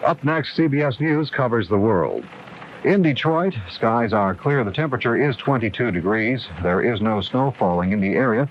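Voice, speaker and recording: A man's voice: mid-range voice, animated, moderate pace. American newsman on dull, hissy radio. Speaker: local radio news anchor. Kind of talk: scripted news and weather reading.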